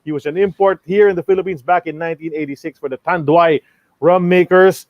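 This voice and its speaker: A male podcast host opens the episode and introduces his guest in a relaxed, casual way, with frequent fillers. His voice sits slightly high. The recording is dry, with a muffled, dull tone. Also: fairly quick, no echo. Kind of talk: podcast interview hosting.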